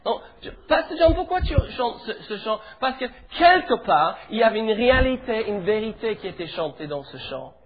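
A middle-aged man from England, a pastor preaching a sermon in French with an English accent, leading the congregation in animated declarations. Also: sits high, moderate pace, few pauses. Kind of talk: sermon in church. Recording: tinny phone-like sound with faint music.

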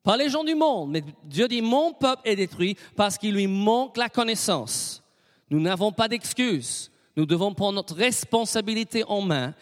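A man's voice: high voice, expressive, normal speed, in a large hall. A middle-aged British man, a native English speaker with an English accent, preaching a sermon and leading the congregation in call-and-response with frequent amens. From Britain. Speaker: pastor.

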